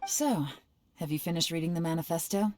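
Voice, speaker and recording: A woman voicing a shrewd, secretive female spy who speaks in a calm, measured, analytical way, in a game with music under her lines. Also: sits low, close to an everyday voice. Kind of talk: game voice acting.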